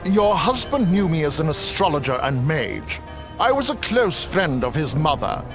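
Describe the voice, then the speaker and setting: An actor playing a sly old necromancer in a video game, mockingly courteous and gleefully macabre, with a theatrical drawl. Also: male voice, on the high side, big pitch swings, moderate pace, over music. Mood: persuasive, smooth. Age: older. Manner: theatrical, drawling, mock-sweet. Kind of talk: video game cutscene acting.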